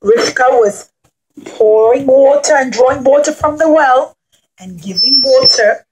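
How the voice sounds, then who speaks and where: A woman's voice: high-pitched, a little slow, a little mellow, low-quality recording. A woman telling an interactive puppet-show story to children in simple, emphatic, encouraging phrases, as their teacher.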